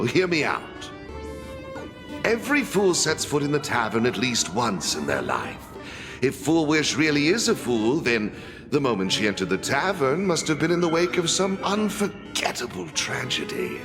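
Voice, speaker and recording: A man voicing a suave, shrewd, well-connected tavern figure in a video game, talking in a knowing, theatrical way. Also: medium pitch. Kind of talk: English video game voice acting. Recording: music playing behind the voice.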